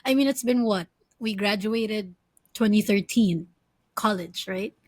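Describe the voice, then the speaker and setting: A woman's voice: medium pitch, a little slow, no room sound. A woman in her early thirties from the Philippines, co-hosting a casual chat, giggling often and asking curious questions. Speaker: podcast co-host. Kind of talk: casual chat between friends.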